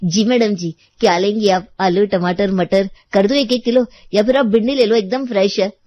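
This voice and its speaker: A woman's voice: medium pitch, fairly even intonation, normal speed, no echo, muffled recording, natural voice. A woman voicing a humble, aggrieved male vegetable seller in a story, with a plain-spoken hawker's manner. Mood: eager, polite.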